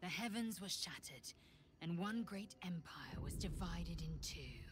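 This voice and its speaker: A stern githyanki warrior. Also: female voice, on the low side, lively intonation, slow pace. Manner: clipped, disdainful, grave. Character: stern female githyanki warrior, proud and harsh. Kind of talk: video game voice acting.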